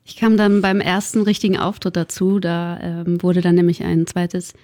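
A woman's voice: on the low side, level tone, moderate pace, a little mellow, dry recording, clean studio sound. A woman musician chatting casually as a guest in a radio interview. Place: radio studio.

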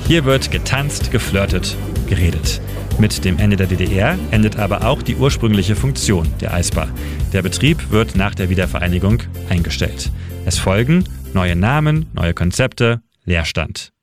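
Low-voiced male documentary narrator over music. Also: animated. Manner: measured, short punchy phrases. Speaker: radio editor and city guide. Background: music under the voice.

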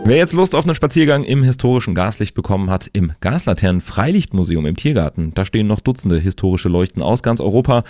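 Deep-voiced man hosting radio. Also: a little mellow, dry recording. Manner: chatty, announcing events and songs. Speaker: radio host. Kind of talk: casual radio moderation. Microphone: studio microphone.

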